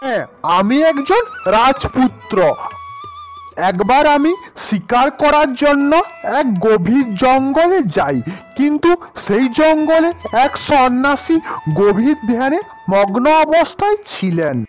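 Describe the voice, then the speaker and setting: A loyal fairy-tale genie, as a cartoon performer acts him out, speaks in a high, very animated voice. He is formal, grateful and deferential, as a servant to his master. Faint music plays behind. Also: takes it slowly. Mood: earnest recounting. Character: grateful, loyal male lamp genie, later a freed prince.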